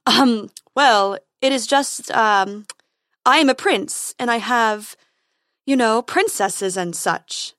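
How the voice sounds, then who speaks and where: A woman's voice: a little high, expressive, moderate pace, hardly any pauses, dry recording. A woman voicing a pompous, hasty young prince in a storybook, given to declaiming. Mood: nervous. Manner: loud, declamatory. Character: young, pompous, hasty heroic prince who loses nerve. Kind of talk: storybook character voice.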